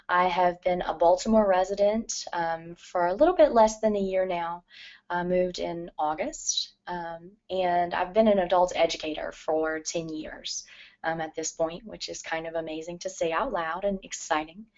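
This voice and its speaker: Thirties woman presenter, scratchy and earnest, filler-heavy delivery, slightly low voice, dull lo-fi sound. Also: normal speed, darkish tone. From Louisiana. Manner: frequent ums, careful and earnest. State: scratchy voice from allergies. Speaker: literacy professor and adult educator. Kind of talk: presentation to educators. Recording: dull lo-fi recording.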